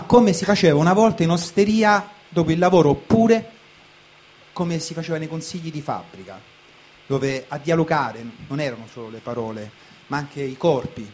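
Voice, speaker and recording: A man in his late thirties delivering a conversational spoken theatre monologue, pausing and pressing on certain words. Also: fairly high pitch, a little mellow, close to an everyday voice. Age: late 30s. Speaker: stage performer, writer. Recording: clearly noisy live recording.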